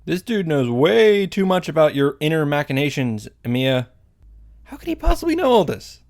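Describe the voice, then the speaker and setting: Higher-voiced man narrating a wry recap. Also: very animated, normal speed, darkish tone. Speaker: video host recapping a visual novel. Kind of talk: casual scripted recap narration. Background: faint music.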